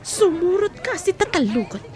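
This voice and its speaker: A menacing female captor in a radio drama. Her voice is high and swings widely as she gives violent, commanding threats. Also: very animated, normal speed, crowd noise. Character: threatening, violent woman interrogating a frightened captive at knifepoint.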